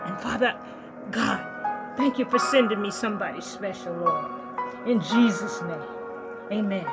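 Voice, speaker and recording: A woman playing an earnest, excitable young believer who talks aloud to God, swinging between joy and pleading. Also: mid-range voice, unhurried, close to an everyday voice. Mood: grateful. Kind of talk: church drama monologue. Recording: music playing under the voice. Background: music.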